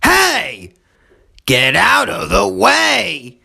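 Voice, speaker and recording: A bullying cartoon villain, gruff and gloating. His voice is extremely gravelly and raspy, swinging wildly as he taunts. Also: sits high, wildly swooping pitch, drawn-out words, pushed and bright, exaggerated voice. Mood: taunting, gloating.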